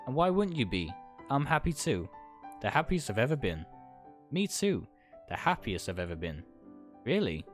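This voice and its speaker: Compliant, lovestruck male lead for a visual novel, voiced by a man: meek, mid-pitched, music underneath. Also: very animated, moderate pace, long pauses, lightly acted. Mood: devoted, compliant. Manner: hesitant, compliant. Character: meek, devoted, confused man under his lover's sway. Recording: music behind the voice.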